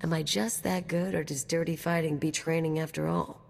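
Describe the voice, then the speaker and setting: Low-voiced, cocky female mercenary. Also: fairly even intonation, normal speed, gravelly, a little mellow, in a large hall. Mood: breezy, boastful. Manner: swaggering, teasing, casual and slangy. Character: brash, cocky female anarchist mercenary companion. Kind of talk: video game voice acting.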